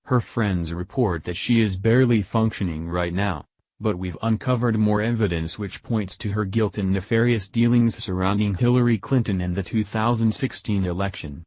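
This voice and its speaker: A man's voice: on the low side, moderate pace, a little mellow, no room sound. A man reading scripted political news as a voice-over narrator.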